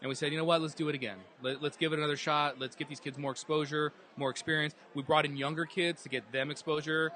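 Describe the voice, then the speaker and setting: A man's voice: fairly high pitch, little pitch movement, fast, hardly any pauses, pushed and bright. A man earnestly telling his story as a guest in a casual interview at a live event hall. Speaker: guest, dance group organizer.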